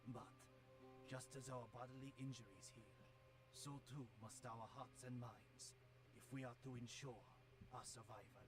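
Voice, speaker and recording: Measured, wise old master played by a man. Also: mid-range voice, steady tone, natural voice. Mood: solemn, fatherly. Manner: measured, philosophical, formal. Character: wise old male master and father, calm and stern. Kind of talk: formal comic dub monologue. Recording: music under the voice.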